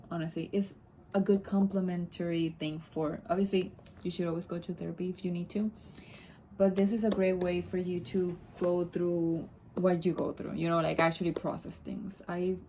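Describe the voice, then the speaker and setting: A woman's voice: on the low side, dark tone, slight background noise, low-quality recording. A young woman from Puerto Rico, a native Spanish speaker, chatting casually to camera in a rambling way with many asides and self-corrections. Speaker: vlogger.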